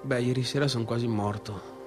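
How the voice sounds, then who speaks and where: An actor playing a disturbed provincial young man in a radio theatre monologue, with a northeastern Italian accent and a halting, repetitive, colloquial way of talking. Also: medium pitch, steady tone, lightly acted. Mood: halting, confiding. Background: music.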